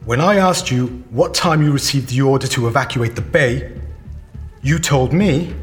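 An actor playing a persistent, sceptical official questioner at an inquiry in an audio drama, formal, deliberate and pointed. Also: male voice, mid-range voice, faint music behind, lightly acted.

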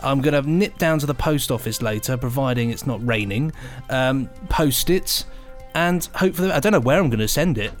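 A man's voice: medium pitch, animated, brisk, hardly any pauses. Jokey British man, music behind him. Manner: jokey, self-mocking, chatty. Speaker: podcast co-host. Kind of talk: casual rambling podcast banter.

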